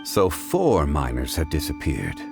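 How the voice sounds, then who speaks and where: A composed gentleman consultant from a video game, reasons things out in a very low voice. The phrasing is formal and deliberate, with wide melodic swings over faint music. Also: huge pitch swings, gravelly, heavily acted. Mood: composed, inquiring. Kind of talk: English video game voice acting.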